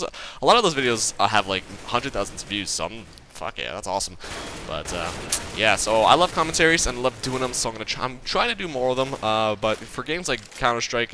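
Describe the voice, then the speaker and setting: A man's voice: medium pitch, pushed and bright, a little hiss or hum. A nineteen-year-old man giving casual gameplay commentary, rambling and profane, stuttering and leaving sentences unfinished. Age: young adult. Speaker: gaming channel host.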